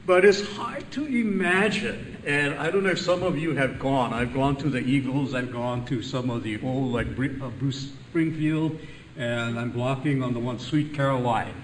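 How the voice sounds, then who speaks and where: An older man from Hawaii with a loud voice, giving a chatty, joking conference lecture as a physician. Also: mid-range voice, moderate pace, slight background noise. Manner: chatty, self-deprecating, laughs at own jokes. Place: large conference room. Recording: echoey room, voice from a distance.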